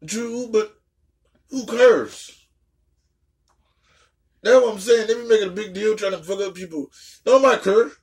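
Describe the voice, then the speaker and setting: Blunt, slangy man with a higher-pitched voice. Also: measured pace, long pauses. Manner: stammering, repeats words emphatically. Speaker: reaction video host. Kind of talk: casual chat reacting to a story.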